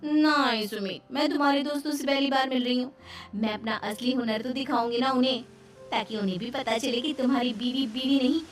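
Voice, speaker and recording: A woman's voice: high-pitched, steady tone, fairly quick, distant mic, faint music behind, lightly acted. A woman voicing a playful, stubborn young daughter-in-law in a Hindi cartoon story who declaims film dialogue. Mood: boastful. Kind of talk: cartoon voice acting.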